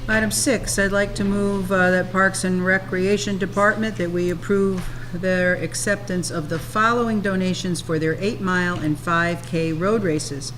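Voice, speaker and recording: A woman on a town council making formal motions, reading agenda items in a measured way with small hesitations. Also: fairly low pitch, steady tone, fairly bright tone, a little hiss or hum, rough recording.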